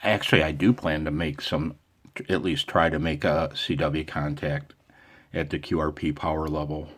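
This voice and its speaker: A man's voice: a bass voice, steady tone, normal speed, fairly bright tone. An American man talking off the cuff in a casual vlog as an amateur radio operator, with a wry, self-deprecating manner.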